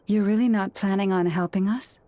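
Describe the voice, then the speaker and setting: A woman playing a gentle, solemn young leader of a sacred order in a video game, speaking in a measured, formal and earnest way. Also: medium pitch, fairly even intonation, soft background music, close to an everyday voice. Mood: grave, concerned. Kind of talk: video game character acting.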